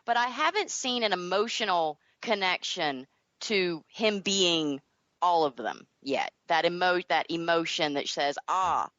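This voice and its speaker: A woman from the American South gives a casual interview, thinking aloud as she goes. Her voice sits on the low side, captured dry on a slightly dull, lo-fi recording. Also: expressive, unhurried, a bit bright, no room sound. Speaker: interview guest.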